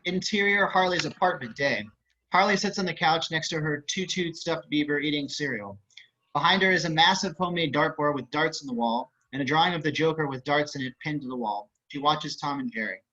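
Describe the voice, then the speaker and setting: A man's voice: a little high, brisk, pushed and bright. A man reading stage and screen directions aloud as scripted narration. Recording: muffled online call audio.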